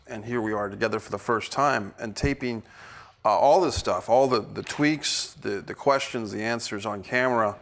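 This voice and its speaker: A man leading a table read as director, giving casual instructions and reading stage directions in a halting way. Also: fairly low pitch, fairly bright tone.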